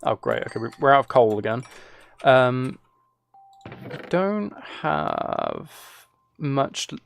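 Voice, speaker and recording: A man with a mid-pitched voice is live streaming a game. He talks casually to his viewers and muses aloud in a rambling way, with music playing behind him. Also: gravelly. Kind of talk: casual chat with viewers while gaming.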